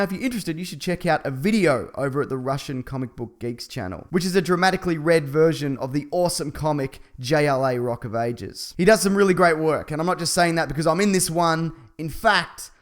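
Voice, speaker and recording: A man hosting a scripted comedic film review, with sarcastic, dry humour and exaggerated delivery. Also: fairly high pitch. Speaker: film reviewer, channel host.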